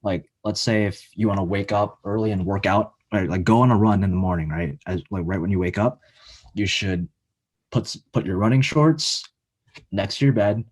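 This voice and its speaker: A nineteen-year-old man talking as a guest in a casual interview, halting and earnest, with lots of filler words. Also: lowish voice, darkish tone. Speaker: podcast guest, college student.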